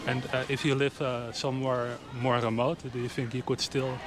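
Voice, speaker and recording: A man's voice: mid-range voice, little pitch movement, normal speed. A man conducting street interviews, asking short questions. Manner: brief prompts and backchannels.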